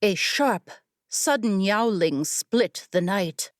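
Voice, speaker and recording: A woman narrates fiction with a highly varied pitch and an unhurried pace. Her storytelling is measured and expressive, in a very dry recording. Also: no room sound. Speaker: audiobook narrator.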